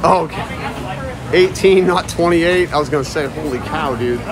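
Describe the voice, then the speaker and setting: A man talking loudly and casually to viewers as he live-streams while walking around. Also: on the high side, normal speed. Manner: loud, chatty, talks to viewers and chat. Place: outdoors at a busy shopping area, later indoors. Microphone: phone held while walking. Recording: phone audio with crowd noise. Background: crowd chatter.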